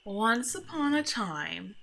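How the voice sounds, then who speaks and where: A woman's voice: a little high, sing-song, slow, creaky, fairly bright tone, full character voice. A woman playing a grumpy, sarcastic, bossy monster from under the bed in an ASMR roleplay. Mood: hushed, storytelling. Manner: exasperated, bossy, mock-threatening. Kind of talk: scripted ASMR roleplay, speaking to the listener.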